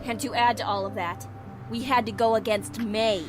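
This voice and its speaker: A woman's voice: on the high side, steady tone, moderate pace, lightly acted. Woman acting guarded tsundere schoolgirl over music. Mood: bitter, guarded. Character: guarded tsundere schoolgirl, hurt and proud, softly embarrassed. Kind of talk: visual novel voice acting. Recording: music behind the voice.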